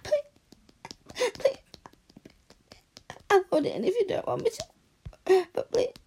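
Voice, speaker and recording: A young adult woman performing a scripted audio roleplay, tearful and pleading, often sinking into soft whispers. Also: very high-pitched, wildly swooping pitch, slow.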